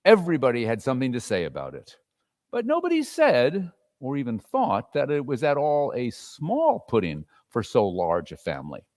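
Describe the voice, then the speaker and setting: A man narrating a classic story theatrically, expressive and with dramatic pauses. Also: mid-range voice, dry recording, clean studio sound.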